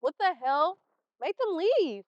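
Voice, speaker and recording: A woman's voice: very high-pitched, big pitch swings, slow pace, no room sound, full character voice. A woman playing a guarded, resentful sixteen-year-old schoolgirl in a stage play, curt and blunt. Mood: angry. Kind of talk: amateur stage play acting. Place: theater stage. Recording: muffled, dull stage recording.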